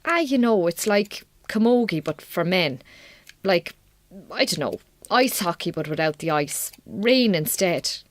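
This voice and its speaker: An actress playing a weary, wry Irish farm wife in a radio drama, blunt but warming, with an Irish accent and colloquial turns of speech. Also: female voice, on the low side, animated, measured pace, no echo. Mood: animated, friendly.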